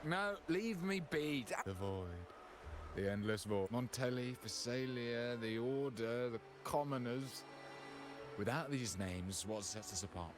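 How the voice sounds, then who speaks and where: Actor as a slow, theatrical, lovelorn drunkard. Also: male voice, mid-range voice, animated, lightly acted. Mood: despairing, agitated. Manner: theatrical, slow, despairing declamation. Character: anguished, lovelorn, drunken man raving poetically. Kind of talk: video game dialogue acting. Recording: music clearly behind the voice. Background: game music.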